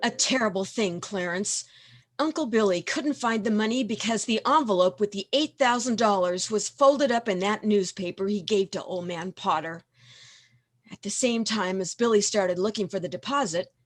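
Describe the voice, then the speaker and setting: Woman as knowing narrator, medium-pitched. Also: moderate pace, lightly acted. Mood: solemn storytelling. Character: knowing heavenly senior angel narrating to Clarence. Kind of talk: scripted radio play narration. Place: home, over video call.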